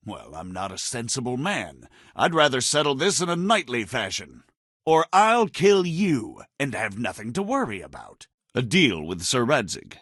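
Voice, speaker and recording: Casting: haughty medieval baron gone crooked, game dialogue; lower register, lively swings in pitch, formal and sardonic. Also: male voice, no echo, clean studio sound, heavily acted. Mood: resigned, proud. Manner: haughty, wry, condescending, speaks formally. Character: proud, roguish medieval knight-baron turned counterfeiter. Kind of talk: video game character dialogue.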